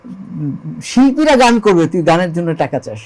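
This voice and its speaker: A high-voiced man with a Bangladeshi accent answers as an interview guest. He speaks earnestly and emphatically, repeating key phrases and posing rhetorical questions, with English words mixed in. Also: big pitch swings, normal speed, a little mellow, rough recording. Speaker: interview guest, songwriter and composer.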